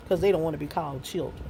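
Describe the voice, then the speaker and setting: A woman in her sixties shares hard-won advice with young people in a folksy, heartfelt way, leaning on faith and plain talk. Her voice sits low and mellow. A faint hiss of noise runs under the recording. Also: creaky, poor audio. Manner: earnest and folksy, mixing faith and street talk. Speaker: speaker giving life advice.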